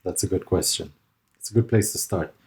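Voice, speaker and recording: Middle-aged male guest from Lebanon, deep voice, reflective conversational answers. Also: brisk, a little mellow, a little hiss or hum. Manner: thoughtful, hesitant with fillers and restarts. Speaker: interview guest, consultant.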